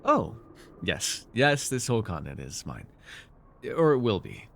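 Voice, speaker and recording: A man's voice: fairly low pitch, huge pitch swings, unhurried, vocal fry, slight background noise, heavily acted. A man playing an arrogant, seductive fantasy warlord in an intimate roleplay, teasing and theatrical as he speaks to one listener. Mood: smug, gloating. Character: arrogant, seductive male fantasy villain warlord, dream-wielding conqueror. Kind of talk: immersive ASMR fantasy roleplay acting.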